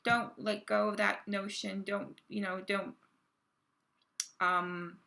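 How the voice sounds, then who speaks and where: A woman reading tarot cards to her viewers in a casual, halting way, full of fillers and restarts, her voice a little raspy from a cold. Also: medium pitch, flat delivery, sharp tone. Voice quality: gravelly. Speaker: tarot card reader.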